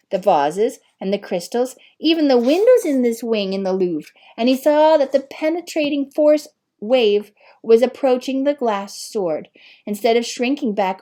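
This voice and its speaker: Woman narrating a storybook, soft mellow tone, expressive n dramatic, leans on the big words. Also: on the high side. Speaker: audiobook reader.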